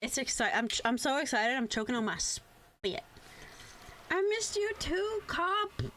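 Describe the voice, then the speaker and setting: A young woman streamer with a fairly high, very bright and forceful voice. She chats casually to her live viewers in an animated, rambling way, with plenty of profanity. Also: unhurried. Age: young adult. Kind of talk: casual chat on a game livestream.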